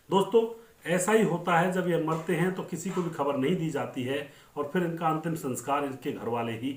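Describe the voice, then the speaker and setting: A man narrating film-star life stories in an informal, conversational way, addressing viewers directly and restating points for emphasis. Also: a little high. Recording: roomy, echoing voice-over.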